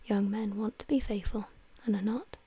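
Languid, cynical storybook aristocrat played by a woman, whispered, dreamy, evenly pitched. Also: steady tone, normal speed, dark tone, a little hiss or hum, close to an everyday voice. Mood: amused cynicism. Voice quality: breathy whisper. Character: languid, witty, cynical upper-class gentleman. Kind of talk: whispered audiobook dialogue.

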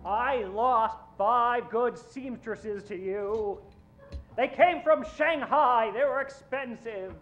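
Plaintive, eccentric club owner, played by a man for radio comedy; high-voiced and unhurried. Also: fairly bright tone, dull audio, soft background music, full character voice. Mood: aggrieved. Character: aggrieved club owner from Portugal who secretly wears dresses. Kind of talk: old-time radio comedy acting.

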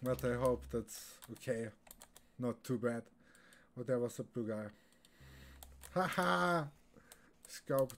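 A man's voice: mid-range voice, lively intonation, takes it slowly, long pauses, a bit bright. A young man from Austria, a native German speaker with an Austrian accent, giving casual live commentary as he streams a game. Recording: clear keyboard and background noise.

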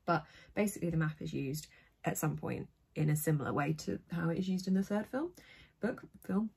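A young woman with a low, soothing voice, chatting self-deprecatingly to camera from her bedroom. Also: vocal fry, mellow, breathy edge. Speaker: book vlogger. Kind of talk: casual chat to camera. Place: bright bedroom with bookshelves, indoors. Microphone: phone or camera at arm's length.

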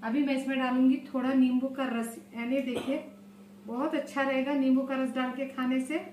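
Woman in her thirties, high voice held in a narrow band, home kitchen with faint room noise behind. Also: flat delivery, normal speed, darkish tone. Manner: chatty, repeats "look" and blessings often. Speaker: cooking channel host. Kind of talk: home cooking tutorial.

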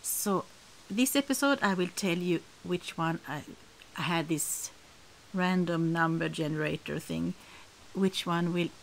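Slow, halting Swedish woman. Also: fairly low pitch, creaky, a little hiss or hum, rough recording. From Sweden. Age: middle-aged. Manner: halting, searching for words and rephrasing. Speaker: podcast host. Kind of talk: casual chat to camera.

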